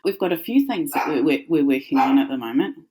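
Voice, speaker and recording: A woman's voice: low-pitched, expressive, fast, mellow, breathy edge, low-quality recording. A woman with a New Zealand accent, a company founder talking as a guest in a casual, friendly interview, with small restarts.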